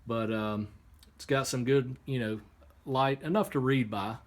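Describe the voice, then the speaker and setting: Male product reviewer, mid-pitched, casual and halting, step-by-step explainer, noisy workshop recording. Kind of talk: casual hands-on unboxing and demonstration. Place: indoor workshop. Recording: clear background noise, room ambience.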